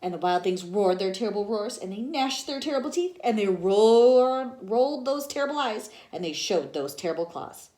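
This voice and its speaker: A woman reading a picture book aloud to young children like a teacher, in an expressive, dramatic storytelling manner. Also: medium pitch, moderate pace, hardly any pauses. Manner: expressive, dramatic delivery with gasps and hushed asides.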